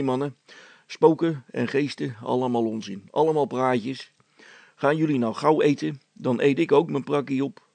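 Man as a gravelly, bossy village policeman. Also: mid-range voice, a little mellow, no room sound. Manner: colloquial, dismissive, self-important.

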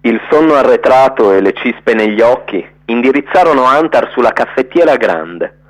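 A man's voice: medium pitch, expressive, moderate pace. A man reading literary prose as a scripted radio narration. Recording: dull, lo-fi radio sound.

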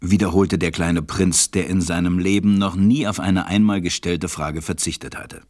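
A man's voice: sits low, fairly even intonation, moderate pace, darkish tone. A man narrating a children's story in a scripted radio play.

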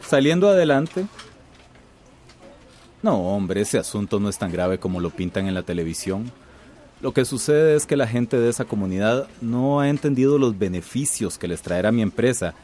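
A man's voice: mid-range voice, darkish tone. An actor playing a bullying male company boss in a radio drama, two-faced, falsely jovial and domineering.

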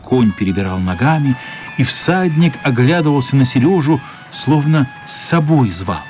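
A man narrating a children's story as scripted radio drama, with expressive, unhurried dramatic phrasing and a husky voice. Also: medium pitch, faint music behind.

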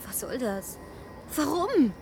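A woman's voice: high voice, sing-song, slow, gravelly, noisy background, heavily acted. An actress playing an anxious, hesitant woman who pleads and questions in fear in a science-fiction radio drama. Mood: alarmed, confused.

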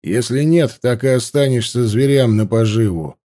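Grim cold warrior interrogating, slow clipped threats, man doing the voice, dark tone. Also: mid-range voice, lightly acted. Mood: menacing. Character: grim male warrior captor, cold, menacing.

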